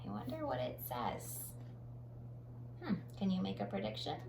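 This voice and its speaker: Animated woman reading a storybook aloud. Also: on the low side, gravelly. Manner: animated, dramatic on sound words, pauses to comment. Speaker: teacher reading a picture book aloud. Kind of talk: expressive children's read-aloud with explanatory asides. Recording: roomy echo, faint background noise.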